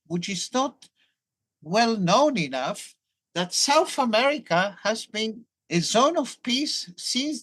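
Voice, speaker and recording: Brief: older male academic with a Hebrew accent, thoughtful and explanatory, lively intonation. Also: high voice. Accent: Hebrew-accented English. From Argentina. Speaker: professor, guest. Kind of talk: academic interview.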